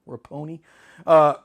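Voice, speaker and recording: Middle-aged male vlogger, slightly hoarse, rambling and jokey, lively up-and-down delivery. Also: on the high side. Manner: rambling, self-deprecating, jokey asides. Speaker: film hobbyist channel host. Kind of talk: casual talk to camera.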